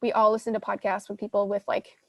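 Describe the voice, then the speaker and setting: A woman's voice: medium pitch, monotone, fast, dry recording. Casual woman giving advice, dry close sound. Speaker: podcast host teaching a live Q&A session. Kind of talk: casual advice, answering audience questions.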